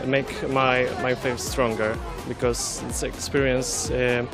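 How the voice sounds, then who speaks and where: Polish-accented man, monotone and hesitant, music underneath. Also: medium pitch. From Poland. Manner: slow, halting. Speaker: interviewee.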